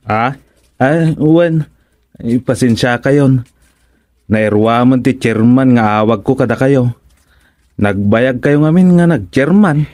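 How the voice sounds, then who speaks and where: A man's voice: medium pitch, slow pace, pauses often, darkish tone, no echo, natural voice. A man playing an apologetic, deferential village aide in a radio comedy drama, with an Ilocano accent. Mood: sheepish.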